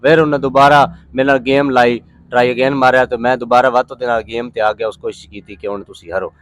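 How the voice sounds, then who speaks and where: A man's voice: mid-range voice, little pitch movement, a little fast, clean tone, dry recording. A man from Pakistan with a Punjabi accent, telling his story casually as an interview guest in a rambling, plain-spoken way.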